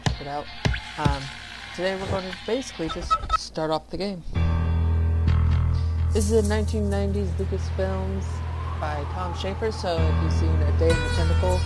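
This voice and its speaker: A woman's voice: lowish voice, normal speed, pauses often. A woman hosting a game playthrough with casual, rambling commentary. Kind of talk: casual let's play commentary. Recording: game music loud over voice. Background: heavy rock guitar music.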